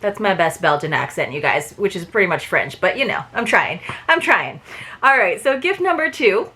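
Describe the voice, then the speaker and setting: A woman's voice: on the low side, big pitch swings, moderate pace, pushed and bright, slight background noise. A woman chatting casually to viewers as she unboxes and reviews a book subscription box, laughing often.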